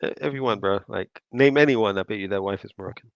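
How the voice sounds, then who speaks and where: A mid-pitched man with a gravelly, fry-heavy voice, making sarcastic asides as he reacts to a recorded call. The sound is dry and a little dull, like a lo-fi recording. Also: fast, dry recording. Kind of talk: reaction commentary.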